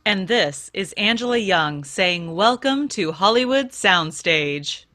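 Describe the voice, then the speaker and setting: A woman's voice: mid-range voice, animated, slow, sharp tone, faint music behind. A woman playing a formal, grand announcer on a 1950s radio drama show.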